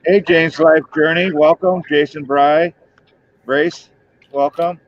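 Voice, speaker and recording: A man's voice: fairly high pitch, steady tone, slow pace, highs cut off, slight background noise. A man in his fifties hosting a livestream, chatting loosely and joking with viewers. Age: 50s. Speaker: livestream host. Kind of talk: casual chat with viewers.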